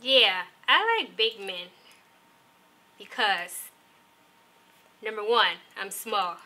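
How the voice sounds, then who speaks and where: A woman guest on a talk show, speaking candidly and relaxed about dating. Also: mid-range voice, slow. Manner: candid, relaxed, gives short agreeing replies. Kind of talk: casual talk show interview.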